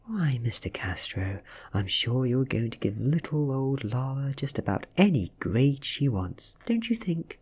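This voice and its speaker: A British man voicing an ancient female vampire posing as a schoolgirl, soft-spoken, coy and taunting, in an audiobook reading. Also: medium pitch, very animated, unhurried, hardly any pauses, husky, voice pushed up, heavily acted. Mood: teasing.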